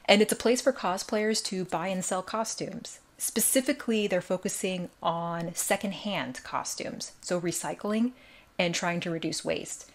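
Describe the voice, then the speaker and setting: A woman co-hosting a podcast in casual chat, her voice raspy and tired from days of talking, speaking with quick enthusiasm. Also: lowish voice. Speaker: podcast co-host.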